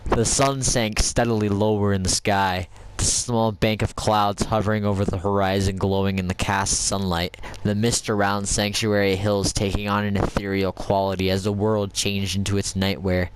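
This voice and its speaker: A man reading fan fiction aloud in a wry, deadpan way, recorded on a console headset. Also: on the low side, moderate pace, few pauses, a little hiss or hum, low-quality recording. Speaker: reader. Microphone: game console headset.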